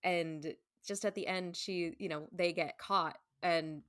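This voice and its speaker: A woman's voice: lowish voice, steady tone, slow pace, a bit bright, dry recording, studio quality. A woman speaking as a podcast guest in casual chat, emphatic and chatty, peppering her talk with "like". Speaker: podcast guest, stage performer.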